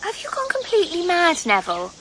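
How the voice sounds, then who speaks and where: A petulant, jealous young wife. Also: female voice, very high voice, huge pitch swings, fast talker, a little hiss or hum, full character voice. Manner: petulant, sharp-tongued. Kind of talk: radio drama acting.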